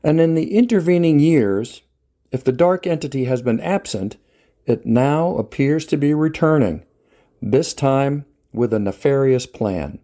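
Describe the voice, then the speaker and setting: A man hosting and reading scripted narration to introduce a dramatic scene, in a measured storyteller's manner. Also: mid-range voice, animated, mellow. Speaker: host, writer-director.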